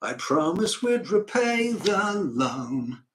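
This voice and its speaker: A man's voice: high-pitched, very animated, slow pace, a bit bright, pitched above the performer's own voice, exaggerated voice. Man acting an earnest northern factory man, pleading. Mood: earnest, hopeful. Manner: heartfelt, pleading.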